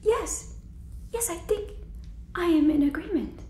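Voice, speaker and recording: High, stilted alien woman, acted by a woman. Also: mellow. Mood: earnest, formal. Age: young adult. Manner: stilted, halting, clipped grammar. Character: naive, earnest, awkward young female alien. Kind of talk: soft-spoken ASMR sitcom roleplay. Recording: roomy echo, background noise.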